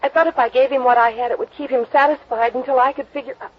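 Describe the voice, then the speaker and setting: An actress playing a vulnerable, pleading woman in a classic radio melodrama, with halting, emotional delivery. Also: high-pitched, fairly even intonation. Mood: desperate. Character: anguished young woman in trouble, pleading and vulnerable. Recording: muffled old radio recording.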